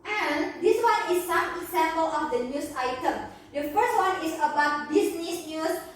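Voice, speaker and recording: Very high-voiced Indonesian woman teaching in echo. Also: unhurried. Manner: instructional, prompting students with questions. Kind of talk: classroom lesson presentation. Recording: very echoey room, distant mic.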